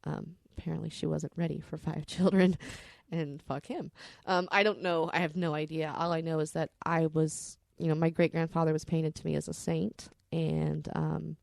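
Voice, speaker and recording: Low gravelly lady rambling candidly about her life, swears a bit, super dry close sound. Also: flat delivery, moderate pace, few pauses, darkish tone, no echo. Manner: candid, salty-tongued. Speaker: podcast storyteller. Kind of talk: candid, rambling personal storytelling.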